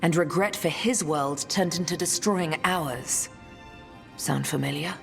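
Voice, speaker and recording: An actress playing a grave, weary noblewoman leader in a fantasy video game, speaking in a measured, solemn way. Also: deep voice, vocal fry. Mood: grave, reflective. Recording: long reverb, music behind.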